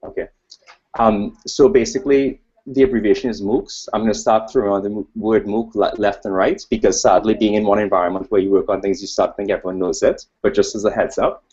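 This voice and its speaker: A man's voice: on the low side, steady tone, a little fast, darkish tone, slightly rough. A man from Trinidad with a Trinidadian accent, giving an informal conference talk as a researcher in a digressive, self-deprecating way. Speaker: academic researcher presenting at a conference. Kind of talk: informal conference presentation with slides. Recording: dull, lo-fi webcast audio.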